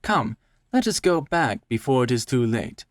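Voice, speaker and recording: A man's voice: medium pitch, very animated, moderate pace, dry recording, clean studio sound, pitched above the performer's own voice, close to an everyday voice. A man voicing a cold, slyly polite avenger who feigns concern, in a dramatic story reading. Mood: feigned concern.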